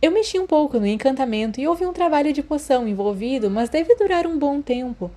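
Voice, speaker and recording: Teenage schoolgirl for audiobook fiction, played by a woman: eager, insistent, warm-hearted, pitched up. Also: lively intonation, fairly quick. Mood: hopeful, excited. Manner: emphatic, eager. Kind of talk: fan fiction audiobook character voice.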